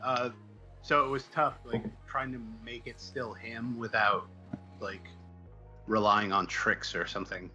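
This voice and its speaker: A man chatting casually as an interview guest, halting and self-deprecating as he thinks out loud. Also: medium pitch, fairly even intonation, faint music behind, low-quality recording. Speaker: guest, comic book writer.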